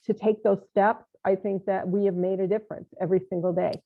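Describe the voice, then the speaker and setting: A woman's voice: medium pitch, little pitch movement, fairly quick. Brief: older woman host, upbeat and encouraging, heard over a thin phone-like line. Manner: enthusiastic, encouraging, many "you know" fillers. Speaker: podcast host. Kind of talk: casual interview chat.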